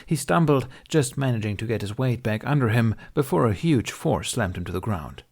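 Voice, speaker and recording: A man's voice: mid-range voice, lively intonation, moderate pace, darkish tone, breathy edge. A man reading scripted fiction as an audiobook narrator.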